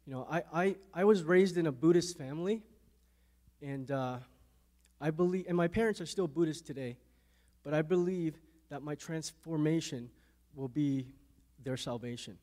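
A man in his thirties from Canada, telling his faith testimony in an interview, halting and repeating words, growing quiet on hard memories. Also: a little high. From Canada, born in a Thai refugee camp to Lao parents. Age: 30s.

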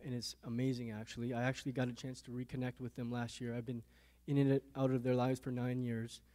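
A man in his thirties from Canada gives his faith testimony at a middle pitch. He speaks haltingly, often repeating words, and his voice drops softer when he recalls painful memories. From Canada, born in a Thai refugee camp to Lao parents. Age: 30s. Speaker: interviewee giving a testimony. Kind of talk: earnest faith testimony interview.

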